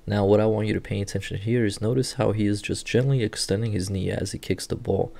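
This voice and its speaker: Gravelly low-voiced man explaining rehab clips. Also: quick pace. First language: Portuguese. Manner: steady, instructive walk-through of clips. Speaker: sports rehab clinician. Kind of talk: explanatory video commentary. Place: indoor room.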